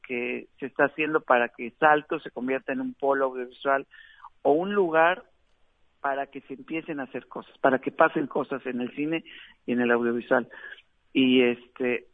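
A man from Mexico with a Mexican accent, a film director giving a relaxed, chatty radio interview with frequent fillers. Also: medium pitch, dry recording. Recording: tinny dry phone line.

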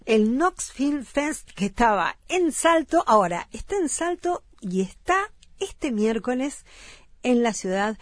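A woman from Uruguay with a Rioplatense accent, hosting a radio interview in a lively, slangy way and repeating event details. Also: on the high side, slow, no room sound, clean studio sound. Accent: Rioplatense Spanish. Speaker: radio host. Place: radio studio. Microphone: studio mic.

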